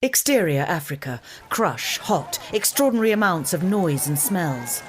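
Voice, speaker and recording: Female narrator for script stage directions, lowish pitch, light background ambience. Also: sing-song, moderate pace, a little hiss or hum. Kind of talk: scripted narration of a screen musical.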